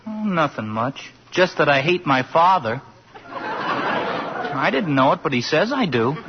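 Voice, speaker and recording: Good-hearted glum young lad, colloquial, slightly high voice, 1940s radio play, dull hissy sound. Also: male voice, big pitch swings, a little slow. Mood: glum, confiding. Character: simple, good-hearted, downcast young working-class man. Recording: muffled, noisy vintage 1940s radio broadcast.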